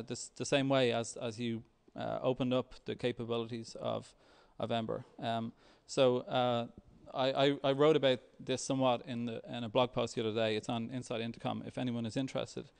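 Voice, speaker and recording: Man with creaky voice, halting tech presenter. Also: medium pitch, fairly even intonation. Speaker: software developer presenting at a tech meetup. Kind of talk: conference talk with live demo.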